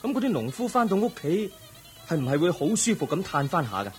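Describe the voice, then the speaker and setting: A man's voice: high-pitched, big pitch swings, fast, soft background music. A man playing a thoughtful, compassionate young crown prince in a Cantonese audio drama, earnest and questioning. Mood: troubled.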